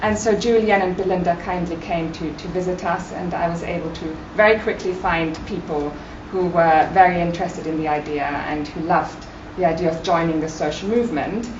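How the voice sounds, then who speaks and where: A woman's voice: fairly low pitch, moderate pace, few pauses. A woman from Germany, a native German speaker, giving an informal, appreciative impromptu speech at a forum. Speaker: guest speaker, care project manager and doctoral researcher. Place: meeting room at a university campus. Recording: echoey room, distant mic, muffled, loud noise.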